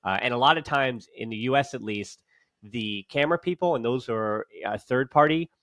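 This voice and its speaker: A man's voice: mid-range voice, normal speed, a bit bright, no room sound. A man hosting a casual podcast, talking in long rambling sentences with many restarts and fillers. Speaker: podcast host.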